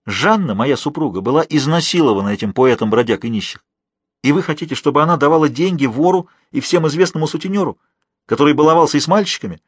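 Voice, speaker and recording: A stern, proud nobleman in a historical audiobook, played by a male narrator. He speaks with commanding scorn and indignation in a husky voice, recorded dry and close. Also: on the high side, expressive, quick pace, no room sound, voice pushed up. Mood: indignant.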